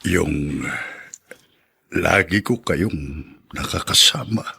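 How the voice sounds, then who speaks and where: Very raspy, ailing old father. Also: sits low, drawn-out words, pushed and bright, heavily acted. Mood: tender, solemn. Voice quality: raspy, gravelly, strained. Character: ailing, gentle old father, content and loving. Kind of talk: Tagalog radio drama acting.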